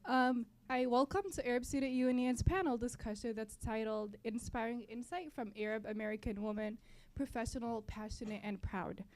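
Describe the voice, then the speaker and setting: A woman's voice: a little high, steady tone, normal speed, darkish tone. A young woman from Palestine, a native Arabic speaker, giving a welcome speech to an audience as a student club member, often repeating and correcting her phrases. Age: young adult. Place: college library event.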